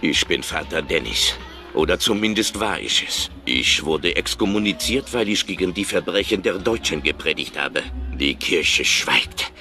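An actor playing a solemn, measured old excommunicated priest in a video game, grave and quietly vengeful. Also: male voice, deep voice, animated, heavily acted. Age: older. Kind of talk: scripted video game dialogue.

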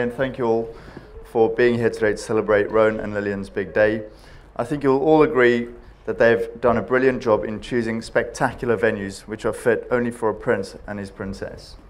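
A man's voice: medium pitch, steady tone, a little hiss or hum. A man in his thirties with a South African accent, giving a jokey best man speech from notes into a handheld mic in a large panelled hall. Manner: jokey, reading from notes, pausing for laughs. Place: large wood-panelled banquet hall, indoors.

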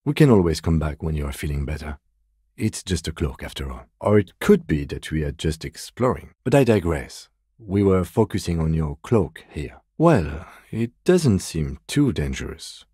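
A man voicing a composed, methodical police detective in a video game, speaking in a measured, formal, understated way. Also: very deep voice, big pitch swings, mellow, slightly rough, dry recording, clean studio sound, exaggerated voice. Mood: calm, matter-of-fact. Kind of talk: video game character dialogue.

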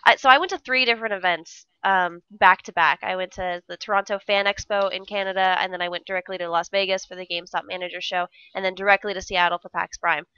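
A woman's voice: fairly low pitch, steady tone, quick pace, hardly any pauses, bright, forceful tone, smooth, no echo, highs cut off. A young woman co-hosting a casual live stream, upbeat and enthusiastic, sounding stuffy from a cold, on a headset mic. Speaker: co-host of a game dev stream.

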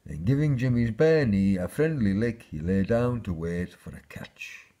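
A man with a husky voice, reading a children's fairy tale aloud as a storyteller. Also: lowish voice, expressive, normal speed, warm tone.

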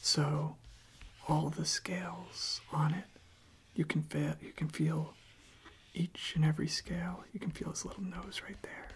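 A young adult ASMR host speaking in a soft, gentle whisper, halting and repeating words, close to the microphone. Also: mid-range voice, little pitch movement, a little hiss or hum.

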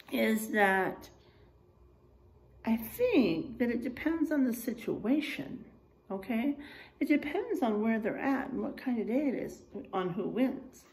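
A middle-aged woman reads to children in an animated teacher's style. Her pitch moves widely and she takes her time over phrases. She speaks encouragingly, addressing her young listeners directly. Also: low-quality recording. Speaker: teacher reading aloud to children. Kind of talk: animated children's read-aloud with asides to listeners. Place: small unfinished room or garage with drywall, indoors.

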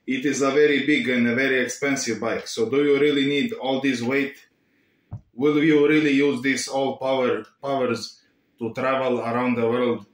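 A young man putting on an earnest motorcycle vlogger with broken English and a Bulgarian-tinged accent, talking slowly and preachily in a small room. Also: mid-range voice, fairly even intonation, echoey room, natural voice, poor audio. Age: young adult. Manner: earnest, slow, preachy, repeats catchphrases. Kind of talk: parody vlog impression.

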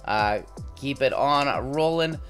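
A man in his thirties with a sore throat and a slightly raspy voice, chatting casually through a pack opening. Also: mid-range voice, slow, soft background music. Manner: relaxed, chatty running commentary with frequent "uh"s. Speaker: card-breaking channel host. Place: indoor home studio with logo backdrop.

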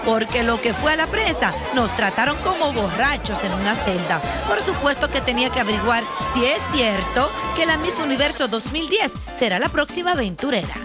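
A woman reporter narrates with sass and humor, her voice high and swooping with lively rises and falls. Loud music and crowd noise run right under the voice-over. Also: brisk, hardly any pauses. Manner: sassy, humorous. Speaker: entertainment reporter.

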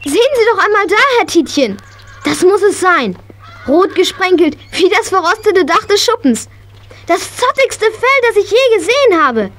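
A woman's voice: extremely high pitch, very animated, normal speed, fairly bright tone, a little hiss or hum, full character voice. An actress playing an eager, affectionate, horse-loving schoolgirl with gushing enthusiasm in a children's radio play. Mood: excited.

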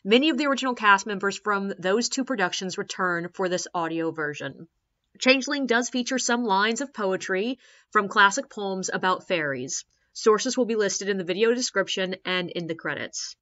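Female presenter, mid-pitched and bright, scripted introduction to an audio drama. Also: expressive, normal speed, lo-fi sound.

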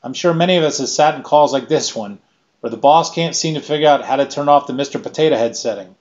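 A middle-aged man delivers a scripted keynote at a measured pace, ticking through points one by one. His voice sits at an ordinary pitch, and the webcam audio from a small room sounds slightly dull and lo-fi. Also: a little mellow. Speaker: keynote speaker. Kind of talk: scripted presentation.

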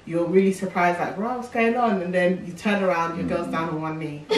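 A woman's voice: lowish voice, lively intonation, moderate pace, a little hiss or hum. A young woman co-hosting, setting up scenario questions in a casual chat. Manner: reads out questions, plays along. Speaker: co-host asking questions. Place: living room, indoors. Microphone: far camera mic. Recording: echoey room from far off.

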